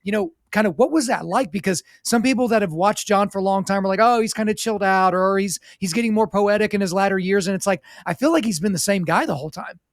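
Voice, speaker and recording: A man's voice: high voice, no echo, studio quality. A man in his thirties hosting a podcast interview, rambling through his thoughts in a casual, chatty way. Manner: rapid, rambling, talks through thoughts aloud. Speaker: podcast host.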